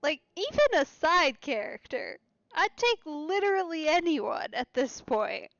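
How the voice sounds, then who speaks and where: A woman's voice: sits high, very animated, unhurried, gravelly, dry recording, highs cut off. A woman streaming a game, reacting casually and musing aloud in a hesitant, trailing way. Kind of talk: casual let's play reactions. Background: soft game music.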